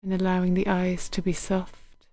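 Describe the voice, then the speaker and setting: Mellow, soothing woman guiding meditation. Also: lowish voice, monotone, no room sound. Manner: gentle, unhurried, soothing, with soft phrase endings. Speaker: meditation guide. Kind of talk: guided body scan meditation.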